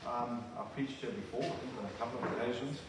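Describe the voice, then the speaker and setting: Older South African male preacher; earnest, halting delivery; distant mic, big church reverb. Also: medium pitch. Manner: earnest, halting, with frequent restarts. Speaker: visiting preacher. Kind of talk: sermon with prayer. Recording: very echoey church from a distant mic with room noise.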